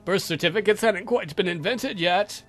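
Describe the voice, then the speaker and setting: A man's voice: high voice, normal speed, fairly bright tone, faint music behind. A man voicing a grumpy, defensive old vampire count from a visual novel, indignant, dry and given to swearing. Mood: dry, matter-of-fact.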